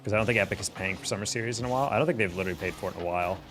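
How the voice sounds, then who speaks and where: Low-voiced man streaming a game. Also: very fast. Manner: chatty, exasperated, laughs often. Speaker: streamer and caster. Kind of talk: casual live-stream chat while gaming.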